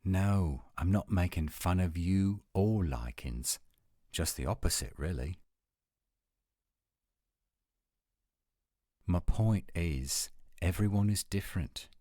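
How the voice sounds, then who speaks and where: A man playing an adult male werewolf lover in a romantic roleplay audio, speaking in an intimate, measured, coaxing and reassuring way. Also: a bass voice, dark tone, dry recording, studio quality, heavily acted. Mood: teasing, earnest. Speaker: voice actor.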